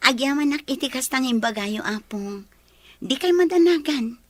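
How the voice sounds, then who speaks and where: Humble young ilocano girlfriend, gentle, slightly high voice, radio drama. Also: lively intonation, measured pace, no room sound. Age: young adult. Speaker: girlfriend character.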